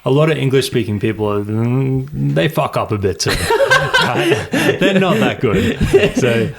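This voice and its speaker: Male co-host, young adult, Australian accent, medium-pitched voice, relaxed informal chat. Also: measured pace, very noisy. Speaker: podcast co-host. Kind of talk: casual chat.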